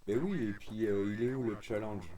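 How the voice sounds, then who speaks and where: A man's voice: fairly low pitch, moderate pace, a crowd in the background. A man chatting casually and enthusiastically as a radio hobbyist, in an informal way. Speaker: radio amateur streaming a group conversation.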